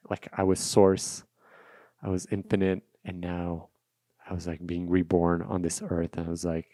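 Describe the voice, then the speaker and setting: A man's voice: low voice, dark tone, no room sound. A man whose native language is Russian, telling a personal story in an unscripted solo podcast, reflective and repeating phrases for emphasis. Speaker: podcast host.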